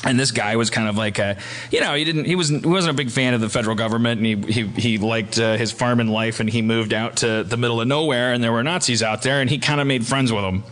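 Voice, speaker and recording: A man hosting a comedy podcast live, telling rambling unscripted stories in a halting, stammering, sweary way. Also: medium pitch, a bit bright. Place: live show before an audience. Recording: noisy live stage recording.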